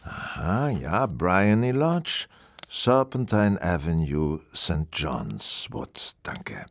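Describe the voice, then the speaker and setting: A shrewd, superior detective in a radio play. His low voice is creaky and swings widely in pitch, sardonic and sure of itself. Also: big pitch swings, drawn-out words, full character voice. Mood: businesslike. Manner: sardonic, theatrical, self-assured. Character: shrewd, world-weary, superior male detective. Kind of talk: German audio drama acting.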